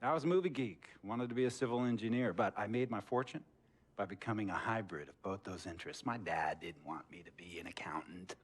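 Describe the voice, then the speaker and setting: An actor playing an eccentric, wealthy, middle-aged boss in a video game cutscene, lecturing in a theatrical storytelling manner that swings between hushed and emphatic. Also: male voice, lowish voice, brisk, husky. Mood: reflective. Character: eccentric wealthy sports boss, preachy, slick, controlling man. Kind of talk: dramatic game cutscene monologue.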